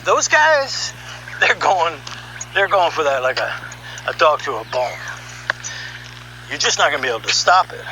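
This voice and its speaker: Middle-aged male storyteller, high and very sharp-edged, conversational and emphatic, over faint background hum. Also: big pitch swings, normal speed, long pauses, low-quality recording. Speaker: narrator, voiceover producer. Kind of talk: conversational first-person storytelling.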